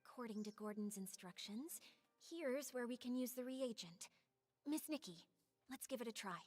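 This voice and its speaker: A woman's voice: a little high, expressive, moderate pace, darkish tone, in a large hall, faint music behind. An actress playing an earnest, polite young female adventurer in a fantasy video game. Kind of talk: scripted video game voice acting.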